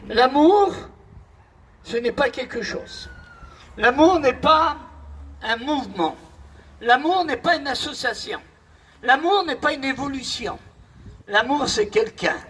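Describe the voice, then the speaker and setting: A man's voice: very high-pitched, animated, slow, pauses often, a bit bright, a little hiss or hum, poor audio. A man from the Paris region preaching a sermon as pastor, emphatic and repetitive, telling a story to a responding congregation. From Paris region, France.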